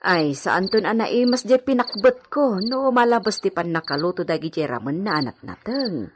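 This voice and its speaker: A woman's voice: medium pitch, big pitch swings, normal speed, noisy background, close to an everyday voice. A woman playing a respectful but gossipy household cook in a radio drama. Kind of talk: Ilocano radio drama acting.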